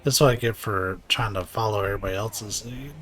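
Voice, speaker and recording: A man's voice: fairly low pitch, fairly quick, fairly bright tone. A man giving casual, informal live commentary as he streams a video game, reacting off the cuff. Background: game music.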